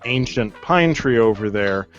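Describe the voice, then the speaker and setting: A man's voice: medium pitch, lively intonation, measured pace, highs cut off, over music. Rambling man telling a campfire story. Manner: rambling storyteller, many "like" fillers. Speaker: host. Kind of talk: casual chat, storytelling.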